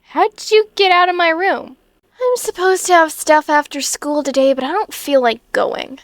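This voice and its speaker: A sulky, earnest teenage schoolgirl heroine, acted by a woman for a comic dub. The voice is high and youthful, with expressive delivery. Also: moderate pace, no echo. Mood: moody. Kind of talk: comic fandub voice acting.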